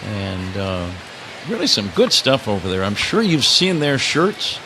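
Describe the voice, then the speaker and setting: A man's voice: fairly low pitch, sing-song, measured pace, bright, forceful tone, rough recording. An older man doing live radio play-by-play of baseball, breaking into casual banter, from a stadium broadcast booth. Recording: clear ambient ballpark noise behind.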